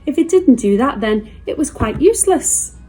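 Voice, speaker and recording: A woman's voice: high-pitched, lively intonation, moderate pace, warm tone, breathy edge, poor audio. A woman from a school's staff reading a children's storybook aloud with expression.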